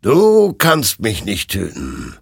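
Spectral horseman of burning souls played by a male narrator: very slow, raised, husky, solemnly menacing, for a dark audiobook. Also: on the low side, huge pitch swings, no echo, clean studio sound, voice pushed up, full character voice. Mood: solemn, commanding. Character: spectral rider of burning souls, ancient, commanding, accusing Death-like being.

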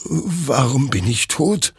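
A man's voice: a little high, measured pace, creaky, fairly bright tone, husky, no room sound, studio quality, voice pushed up, heavily acted. A man voicing a weary, frightened but defiant soldier in an audio story, agitated and stammering. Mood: despairing.

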